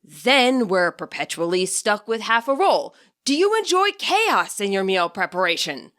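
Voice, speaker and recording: A woman's voice: medium pitch, sing-song, unhurried, fairly bright tone. A woman voicing a fussy, exasperated teenage boy who loves cooking, in a storybook reading, particular about every detail.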